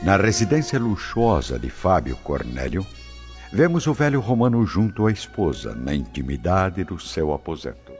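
A man's voice: deep voice, very animated, moderate pace, a little mellow. A man with a Brazilian accent delivering solemn, literary, dramatic narration for a radio drama. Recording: dull lo-fi with prominent music.

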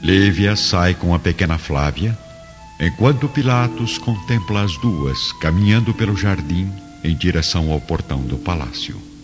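Deep male radio drama narrator. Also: expressive, normal speed. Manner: solemn, theatrical. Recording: dull lo-fi recording, music underneath.

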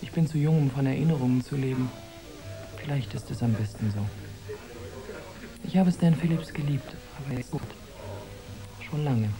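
A woman's voice: rumbling low, moderate pace, long pauses, over music, poor audio. An actress playing a young, worldly married woman in a radio drama, wry, composed and wistful. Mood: bittersweet.

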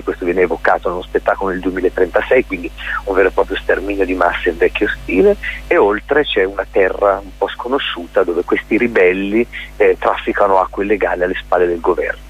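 A male theatre director and playwright being interviewed on radio, explaining his production in articulate lists with hesitant pauses. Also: deep voice, slightly rough, dull audio.

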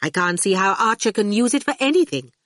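A woman's voice: medium pitch, lively intonation, a little fast, fairly bright tone, no echo, studio quality, pitched above the performer's own voice, lightly acted. A woman voicing a haughty, glamorous, ageless woman ruler in an audiobook, cool and sweetly condescending. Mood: angry, peeved. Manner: pouting, cold then gracious. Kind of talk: audiobook character voice.

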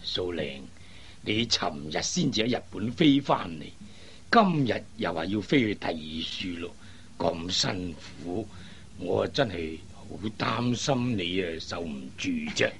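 A man's voice: low voice, lively intonation, unhurried, gravelly, fairly bright tone, full character voice. A man playing a worried, old-fashioned middle-aged father in a Cantonese radio drama, fretful and hesitant. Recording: faint background noise.

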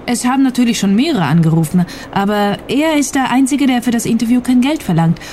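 An actress playing a cool, cynical television reporter in a radio crime comedy, matter-of-fact and unbothered. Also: female voice, a little high, animated, fairly quick, dark tone, a little hiss or hum, natural voice. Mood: cool, indifferent.

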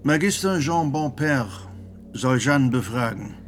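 An actor in a radio drama playing a stern church judge who interrogates a prisoner in a formal, insistent, authoritative way. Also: male voice, mid-range voice, animated, unhurried, soft background music. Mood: stern, official.

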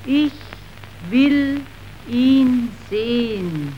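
A woman's voice: on the high side, animated, lo-fi sound, a little hiss or hum. An actress playing an earnest, idealistic young woman preacher in a solemn radio drama. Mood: earnest, resolute. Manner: solemn, deliberate. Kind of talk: declaimed radio drama acting.

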